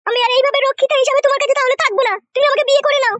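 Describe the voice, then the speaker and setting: Performer as a squeaky, pleading cartoon mistress, a woman. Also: steady tone, racing, a bit bright, no room sound, muffled recording. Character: insistent mistress begging her lover to marry her. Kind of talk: animated comedy cartoon dialogue.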